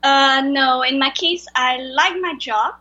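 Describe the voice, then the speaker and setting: A woman's voice: high voice, takes it slowly, sharp tone, lo-fi sound. A woman whose first language is Vietnamese, speaking English with a French accent, hesitantly answering interview questions as a guest over a video call. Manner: hesitant, searching for words. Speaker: guest translator.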